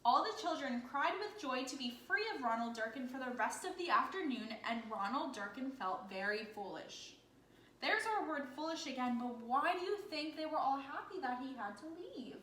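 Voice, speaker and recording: A woman with a fairly high, very animated voice reads a picture book aloud like a teacher. Her delivery is bright and encouraging, with questions along the way. The recording is echoey with faint noise. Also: moderate pace. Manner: expressive, encouraging, often asking questions. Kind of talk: interactive children's story read-aloud.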